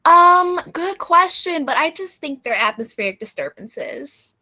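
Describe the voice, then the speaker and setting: A woman's voice: high-pitched, lively intonation, measured pace, sharp tone, exaggerated voice. A woman playing an unsure, easily derailed astronomy doctor in a radio play, hesitant but reassuring.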